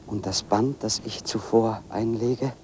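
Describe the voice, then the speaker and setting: Male actor, low dark voice, solitary brooding wanderer, measured literary monologue, radio drama, some background noise. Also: fairly even intonation, lightly acted. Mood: grimly resolved. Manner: measured, deliberate, literary first-person narration. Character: solitary brooding man walking into a dark forest. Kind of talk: radio play interior monologue.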